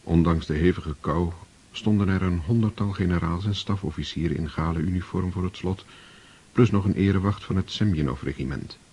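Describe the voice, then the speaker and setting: A man narrating a radio play in a measured, grave storytelling manner. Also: low-pitched, dark tone, husky.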